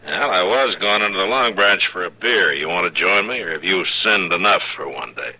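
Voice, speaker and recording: An actor playing a calm, shrewd American lawman with a wry, unhurried manner in an old-time radio western. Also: deep voice, a little hiss or hum, full character voice, rough recording. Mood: probing, wry. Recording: old radio recording, loud crowd behind.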